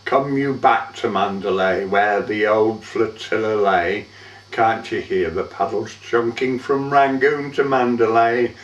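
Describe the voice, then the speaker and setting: A man giving a measured, theatrical recitation of a poem as a monologue, drawing out the ends of lines. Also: on the low side, steady tone. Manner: measured, theatrical, drawn-out line endings. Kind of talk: dramatic poetry recitation, monologue. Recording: dull, echoey room, far from mic.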